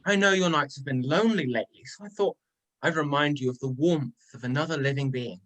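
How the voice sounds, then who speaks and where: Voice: mid-range voice, a little fast, fairly bright tone, natural voice, poor audio. An amateur performer playing a sly male trickster god, mocking, smug and playful, in a dramatic reading of a myth. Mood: smug, teasing.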